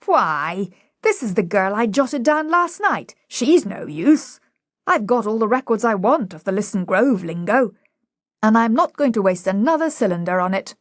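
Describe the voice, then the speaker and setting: Woman playing brusque, bullying gentleman Higgins, animated. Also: a little high, a little slow, no room sound, studio quality, voice pushed up. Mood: brusque, disappointed. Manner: brusque, peremptory, exclaiming.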